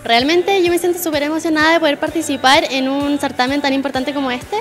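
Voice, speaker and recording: A woman's voice: high voice, little pitch movement, fast talker. An eighteen-year-old Chilean woman speaking eagerly and colloquially in an interview. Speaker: pageant contestant. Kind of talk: casual interview. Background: music.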